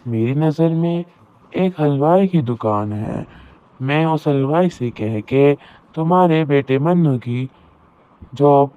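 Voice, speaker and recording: A man's voice: on the high side, takes it slowly. A man playing a helpful elder brother figure who gives advice in a cartoon moral story. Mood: advising. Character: helpful, advising male family friend, an elder brother figure. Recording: roomy echo, very thin phone-like audio.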